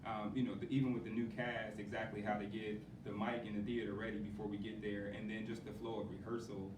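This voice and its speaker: A man's voice: flat delivery, quick pace. A man answering casually and reflectively in an interview as a member of a production crew. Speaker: assistant director and production manager. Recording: echoey room, distant mic, rumbling noise.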